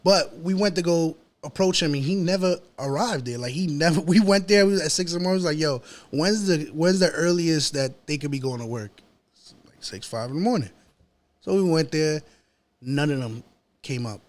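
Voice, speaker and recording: A New York man with a voice a little on the high side, speaking as a hip-hop artist in a relaxed interview. He tells stories casually in his local accent, with lots of slang and repeated filler phrases like a habit. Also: moderate pace. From New York City. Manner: slangy, repetitive, peppered with "you know" and "yo".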